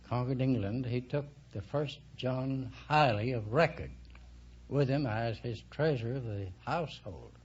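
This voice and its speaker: A man's voice: medium pitch, slightly rough. Droll American man in his eighties, old radio. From Arkansas, United States. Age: 80s. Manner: deadpan, droll answers. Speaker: quiz show contestant. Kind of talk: interview answers on a radio show. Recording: dull old radio recording, faint noise.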